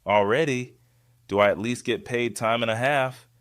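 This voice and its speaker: An actor playing a flirty, devoted restaurant server in an audio drama, soft-spoken, playful and earnest. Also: male voice, medium pitch, moderate pace, smooth, dry recording, clean studio sound, close to an everyday voice.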